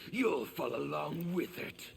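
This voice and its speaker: An actor playing an angry, bitter revolutionary warrior in a video game, gravelly and declamatory. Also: male voice, a little high, lively intonation, takes it slowly, full character voice. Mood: bitter, defiant. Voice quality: gravelly, intense. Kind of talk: video game character dialogue.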